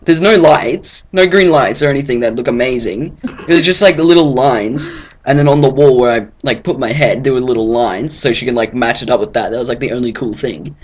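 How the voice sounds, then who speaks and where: A man's voice: medium pitch, fairly quick, a little hiss or hum. A young man casually telling a comic story in a rambling, sarcastic way.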